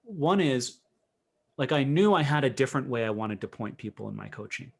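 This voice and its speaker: Guy being interviewed on a podcast, coach type, average pitch, thinks out loud and rephrases a lot. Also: animated, a little fast, gravelly, slightly rough. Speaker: interview guest, professional coach.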